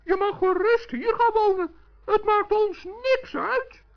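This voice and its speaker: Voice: very high-pitched, slow, exaggerated voice. An actor playing a good-natured, earnest little male forest gnome in a children's radio play. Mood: matter-of-fact, friendly. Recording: dull, muffled old radio recording.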